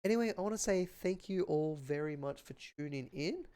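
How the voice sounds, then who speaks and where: A man's voice: fairly high pitch, animated, brisk, mellow, smooth. A man doing live play-by-play esports commentary, earnest and appreciative, his voice a little strained and tiring. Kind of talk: live game commentary and sign-off.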